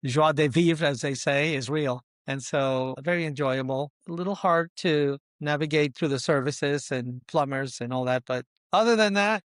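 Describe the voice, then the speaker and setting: A man in his sixties from Colombia, answering interview questions in deliberate short phrases that often list things. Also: a little high, no room sound, clean studio sound. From born in Colombia, immigrated as a small boy. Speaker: podcast guest, luxury consultant and investor.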